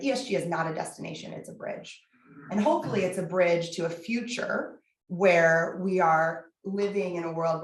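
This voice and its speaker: A woman giving a candid, energetic talk as a guest speaker, her voice husky from laryngitis, with frequent fillers. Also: on the low side, echoey room, low-quality recording. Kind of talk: public lecture.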